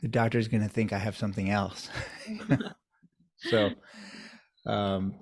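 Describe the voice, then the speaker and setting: A man with a somewhat low voice answers interview questions as a doctor. He talks in a measured, reflective way, building his answers step by step. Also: little pitch movement. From United States, New York area. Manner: measured, thoughtful storytelling. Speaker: urologist guest.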